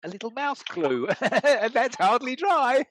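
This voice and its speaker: A man's voice: very high voice, big pitch swings, slow, a bit bright, a little hiss or hum, heavily acted. A man playing a good-natured, bumbling country knight in a period comedy, stammering and blustering along. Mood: sentimental. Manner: hesitant stammering, rustic bluster. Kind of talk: scripted stage comedy acting.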